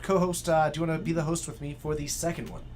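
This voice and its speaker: A man's voice: on the high side, little pitch movement, fast, a crowd in the background. A man in his twenties, commentating a live card game stream from a game store with dry joking banter. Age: 20s.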